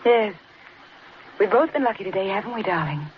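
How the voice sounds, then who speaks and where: Sweetly menacing actress as radio-drama wife. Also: female voice, mid-range voice, lively intonation, brisk, lightly acted. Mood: veiled menace. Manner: honeyed, pointed politeness. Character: poised, sweetly menacing wife with murderous intent. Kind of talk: 1940s radio acting. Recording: muffled, noisy old radio recording.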